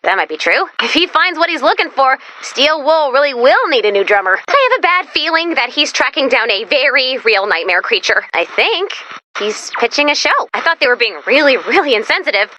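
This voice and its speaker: A woman voicing an excitable, quirky young female robot guide in a video game, chatty and expressive with dramatic, wry asides. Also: high voice, heavily acted. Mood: animated, intrigued. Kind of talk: animated video game voice acting.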